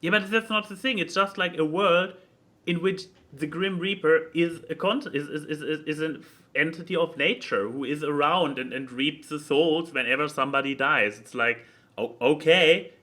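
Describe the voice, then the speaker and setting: Bright-voiced man, halting and rambling. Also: a little high. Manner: halting, rambling, repeats words while thinking aloud. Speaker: podcast speaker reviewing a book. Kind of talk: casual opinionated chat.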